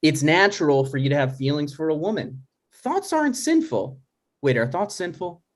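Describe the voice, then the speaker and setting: A man's voice: a little high, very animated, normal speed. A man playing a neurotic, touchy son in his thirties, argumentative and dry, in a scripted comedy table read. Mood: agitated. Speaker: writer and actor in a table read.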